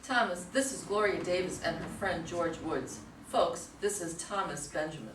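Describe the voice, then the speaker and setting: Gushing, high-energy female assistant played by a woman, stage reading, slightly low pitch, distant mic. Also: moderate pace, natural voice. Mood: businesslike, eager. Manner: gushing, eager. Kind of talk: amateur staged play reading. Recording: echoey room from far off, faint noise.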